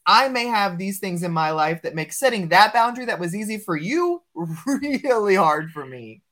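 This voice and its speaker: A man's voice: sits high, sing-song, normal speed, fairly bright tone. A man hosting a casual conversation, talking in a reflective, thoughtful way as an educational leader. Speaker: podcast host, educational leader.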